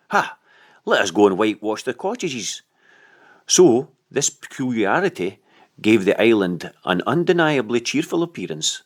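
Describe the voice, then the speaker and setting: A male audiobook narrator reads literary prose expressively. His voice sits low, yet the intonation moves up and down in an animated way.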